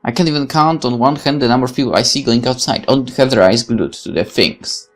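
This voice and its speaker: A woman's voice: extremely low pitch, quick pace. A woman reading a visual novel aloud as a let's player, breaking off into candid, opinionated commentary with some swearing. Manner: opinionated, exclamatory, swears freely. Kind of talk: reading game text with candid side commentary.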